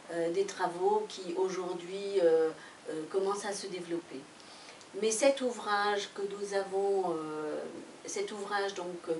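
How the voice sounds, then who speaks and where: A woman's voice: lowish voice, a little mellow, slight background noise. A woman whose first language is Spanish, presenting a book as director of an association, speaking hesitantly with many fillers and restarts. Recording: echoey room from far off.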